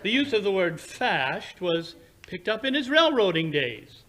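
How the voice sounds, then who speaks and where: A man playing a brilliant, condescending Victorian English gentleman detective who lectures precisely and theatrically in 1940s radio drama style. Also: sits high, big pitch swings, a little slow, heavily acted. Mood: confident deduction.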